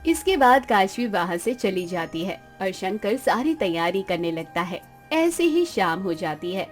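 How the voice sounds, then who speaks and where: A woman's voice: mid-range voice, expressive, a little slow, soft background music. A woman reading scripted narration for a moral story as its narrator.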